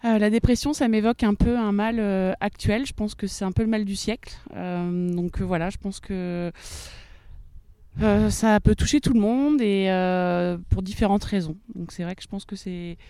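Smooth, mellow woman in her thirties. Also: mid-range voice, steady tone, moderate pace, no echo. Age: 30s. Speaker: street interviewee. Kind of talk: spontaneous interview answer.

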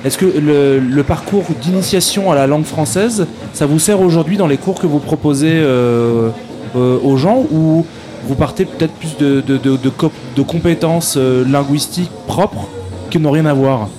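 A man's voice: fairly high pitch, normal speed, music in the background. A man interviewing guests, asking long, rambling questions full of restarts.

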